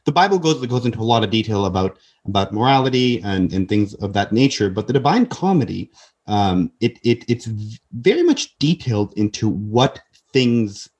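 A man's voice: lowish voice, sing-song, moderate pace, darkish tone, breathy edge, lo-fi sound. A man in his twenties, a podcast guest talking earnestly in casual conversation, often restarting and repeating words. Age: 20s.

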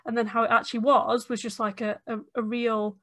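A woman's voice: a little high, flat delivery, fairly quick, a bit bright, dry recording, clean studio sound. A woman leading a panel discussion, speaking earnestly with hesitant restarts. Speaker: discussion moderator. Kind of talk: conversational discussion.

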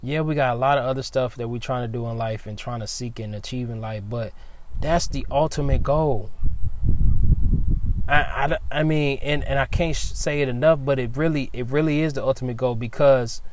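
A man's voice: mid-range voice, moderate pace. A man in his late twenties preaching an informal devotional sermon outdoors, repeating phrases and often saying "man". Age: 20s.

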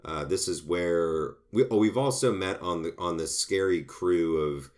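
Man, very low voice, casual chatty film-discussion co-host, restarts a lot. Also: unhurried. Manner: rambling, animated, frequent restarts. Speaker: film review podcast co-host.

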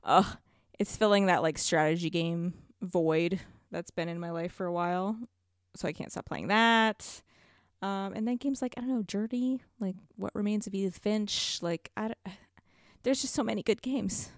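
A woman's voice: mid-range voice, moderate pace, no room sound, studio quality. A woman chatting casually as a podcast guest, speaking effusively and with emphasis about what she likes. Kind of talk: casual chat.

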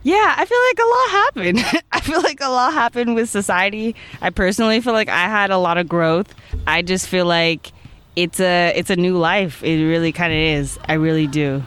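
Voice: mid-range voice, expressive, moderate pace, a bit bright, smooth. A person in their twenties, a podcast guest talking casually and rambling, with lots of "like" and "I feel like" and frequent little laughs. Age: 20s. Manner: rambling, many "like" and "I feel like" fillers, laughs often. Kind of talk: casual interview chat.

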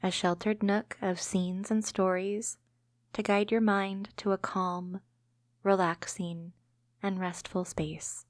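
Calm woman guiding meditation, evenly pitched. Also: dry recording, clean studio sound. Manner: gentle, calming, measured delivery. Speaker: host and narrator of a guided meditation podcast. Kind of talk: scripted guided imagery meditation narration.

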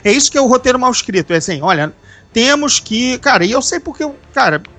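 A podcast host and novelist with a Brazilian accent, chatting casually, animated and quick to swear, often restarting sentences. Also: medium pitch. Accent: Brazilian Portuguese. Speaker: author of a novel.

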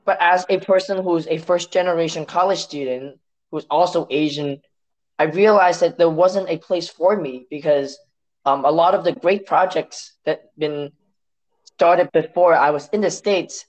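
A man from Hong Kong whose first language is Cantonese, speaking as an interview guest in a measured, reflective way with some restarts. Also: on the high side, poor audio. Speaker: guest, science story editor.

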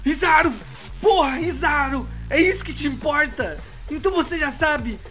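A man's voice: very high voice, moderate pace, bright, forceful tone, soft background music. A man playing a terrified, desperate victim in an audio drama, pleading and bargaining frantically for his life with coarse language. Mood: frantic bargaining. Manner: pleading, frantic, foul-mouthed.

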